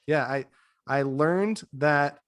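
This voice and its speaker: A man's voice: on the high side, slow pace, no room sound. Laid-back joking man with soothing voice. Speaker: yoga teacher and podcast co-host. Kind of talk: casual podcast chat. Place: dim home room. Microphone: desk mic, video call.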